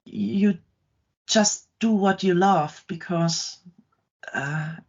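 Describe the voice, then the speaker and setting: Slow, halting middle-aged woman. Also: lowish voice, highs cut off. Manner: halting, many ums and restarts. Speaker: guest, content creator. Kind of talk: casual interview chat.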